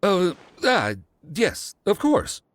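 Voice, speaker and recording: A man's voice: high voice, huge pitch swings, extremely slow, slight background noise, heavily acted. An actor playing an intense, brooding man in a video game, with a dramatic, emphatic manner. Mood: distracted.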